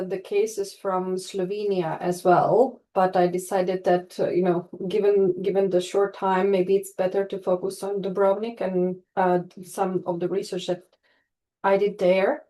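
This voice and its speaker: A woman's voice: medium pitch, flat delivery, measured pace, dark tone, low-quality recording. A woman from Istria in Croatia giving an online webinar talk as a tourism researcher, speaking over a video call and hesitating often with fillers and restarts. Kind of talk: online presentation.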